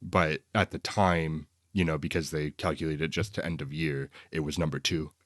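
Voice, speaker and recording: Low deep guy voice, podcast host chatting about movies, close dry sound. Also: steady tone, normal speed, a bit bright, no room sound. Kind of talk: casual film review discussion.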